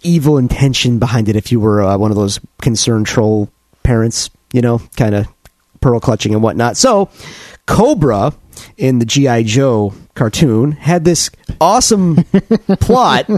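Congested man, lively rambling chat. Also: mid-range voice, measured pace, no echo, studio quality. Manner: rambling, animated storytelling with frequent restarts. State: congested from a cold. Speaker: podcast co-host. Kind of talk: casual chat.

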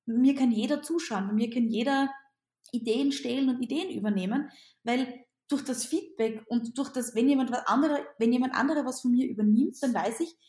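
Austrian-accented female teacher, non-native, higher voice, roomy echo, lively interview answers. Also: a little fast. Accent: Austrian German. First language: not German. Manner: animated, repeats words and restarts.

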